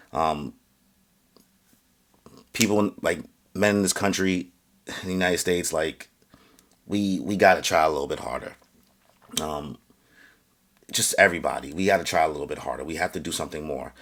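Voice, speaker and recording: Deep-voiced man ranting earnestly. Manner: earnest, halting, many fillers and restarts; grows louder and higher when heated. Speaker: vlogger. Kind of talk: casual opinion rant to camera.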